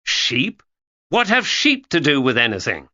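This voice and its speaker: A man's voice: medium pitch, wildly swooping pitch, unhurried, sharp tone, muffled recording, voice pushed up, full character voice. A man voicing a weary, skeptical grown man who answers in a dry, exasperated way. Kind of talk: audiobook character voice.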